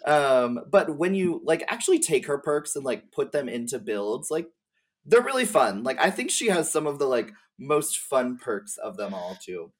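A woman's voice: a bass voice, very animated, normal speed, breathy edge. A woman chats informally as a guest, working through her thoughts aloud. Her delivery is conversational and appreciative, like someone discussing a favorite game. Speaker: podcast guest. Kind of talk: casual conversational commentary on game lore.

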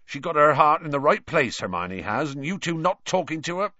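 Storybook gamekeeper performed by a male reader: big, gruff, kindly, folksy, very expressive pitch, muffled recording. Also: brisk, no room sound. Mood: gently reproachful. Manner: rustic dropped words, folksy and plain-spoken.

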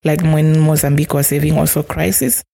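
A middle-aged woman from Zimbabwe with a Zimbabwean accent, telling her life story in an interview in a soft, halting, reflective way. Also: low-pitched, fairly even intonation, gravelly. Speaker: children's home caregiver.

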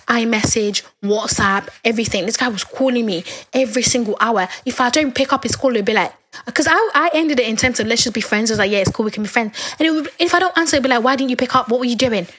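A young woman in her twenties telling a story in a casual chat, animated and dramatic as she acts out the quoted lines. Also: on the high side, fast.

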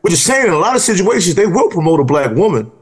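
A man's voice: high-pitched, very animated, quick pace. A man co-hosting a podcast, arguing his points firmly in casual conversation. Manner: argumentative, stresses points firmly. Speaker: podcast co-host.

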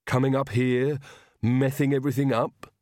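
A man's voice: medium pitch, flat delivery, normal speed, a little mellow, no room sound, studio quality, lightly acted. A man voicing a loyal, grumbling old manservant to vampires in a storybook, muttering reproachfully.

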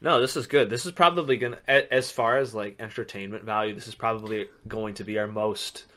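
A man's voice: fairly low pitch, steady tone, fairly quick, fairly bright tone. A young man co-hosting a casual podcast, chatty and joking, with plenty of fillers. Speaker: podcast co-host. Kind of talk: casual chat.

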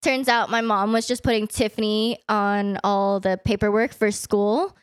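A young woman whose first language is Vietnamese talks in a loose, chatty way, leaning on "like" as she tells stories. Her pitch sits in a middle range for a woman. The sound is close and dry, with no room echo. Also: fairly even intonation, unhurried, clean tone. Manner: chatty storyteller, many "like" fillers. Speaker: guest. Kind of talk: casual podcast chat.

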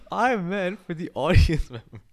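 A man's voice: sits high, huge pitch swings, measured pace. A man co-hosting a casual podcast chat, easygoing and self-deprecating, laughing often. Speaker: podcast co-host.

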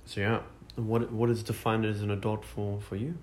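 A man's voice: fairly low pitch, little pitch movement, moderate pace, darkish tone, slight background noise. A man in his twenties hosting a casual podcast chat, talking with many fillers and restarts. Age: 20s. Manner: hesitant, lots of fillers and restarts. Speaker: podcast host.

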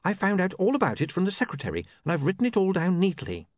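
A prim, proper young woman with a lilting, very animated voice that leaps high and low, as a male reader puts her on. She speaks precisely, in a dry, close storybook reading. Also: quick pace, dry recording.

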